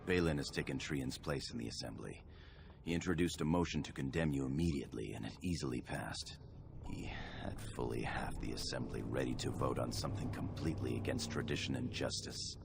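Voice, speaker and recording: A man playing a loyal, sorrowful dwarf knight in a video game, speaking gravely and formally. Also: rumbling low, a little fast. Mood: grave, urgent. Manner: earnest, formal. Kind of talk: video game cutscene acting. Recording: dramatic music under the voice.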